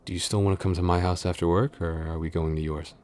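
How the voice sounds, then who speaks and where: Casting: male actor as grumbling but smitten tsundere office boyfriend, extremely low voice, intimate and hesitant ASMR roleplay delivery, audible background noise. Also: steady tone, fast talker, darkish tone, lightly acted. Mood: fond, casual. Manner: hesitant, mock-scolding, intimate.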